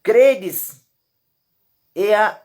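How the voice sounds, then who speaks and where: A Brazilian woman with a Brazilian Portuguese accent, teaching from the Bible. She reads the verses slowly, repeats her points emphatically and speaks straight to the listener. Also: mid-range voice, a little mellow. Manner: emphatic and repetitive, addresses the listener directly. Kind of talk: Bible teaching with slow scripture reading.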